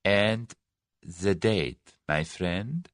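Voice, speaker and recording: Guy putting on a hushed sibilant villain, low and smooth, quiet menace, audiobook, dead dry sound. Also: big pitch swings, a little slow, no room sound. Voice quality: soft, sibilant. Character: soft-spoken sinister Russian conspiracy chief.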